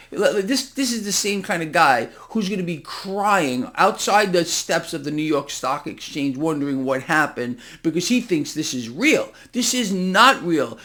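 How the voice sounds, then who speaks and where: A man's voice: on the high side, a bit bright. A man hosting financial commentary, speaking off the cuff straight to his viewers in an emphatic, incredulous, conspiratorial manner. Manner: emphatic, incredulous, conspiratorial, addresses listeners directly. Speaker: host of a financial market commentary channel. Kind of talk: impassioned unscripted market commentary to viewers.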